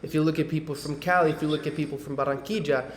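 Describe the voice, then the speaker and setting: A man's voice: fairly high pitch, fairly even intonation, rapid-fire, echoey room, background noise. A man from Medellín, Colombia, hosting a real estate webinar over a video call in a loose, conversational way, with many fillers and tag questions. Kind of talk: casual presentation on a video call.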